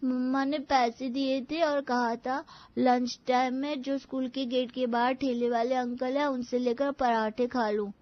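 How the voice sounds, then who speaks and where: An innocent little cartoon schoolboy, high and childlike. He speaks slowly and earnestly. The sound is dry and slightly dull. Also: little pitch movement, dry recording. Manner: slow, childlike delivery. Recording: dull lo-fi audio.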